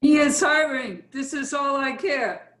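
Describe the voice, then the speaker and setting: A woman's voice: high voice, measured pace, a bit bright. A woman playing a brazen foreign artists' model with a Russian accent, speaking blunt, clipped broken English in a play reading. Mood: matter-of-fact. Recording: roomy, echoey sound.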